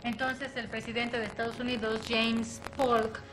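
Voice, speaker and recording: Woman co-host, clear and informative. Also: fairly high pitch, little pitch movement, unhurried, poor audio. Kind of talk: educational TV interview. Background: music underneath.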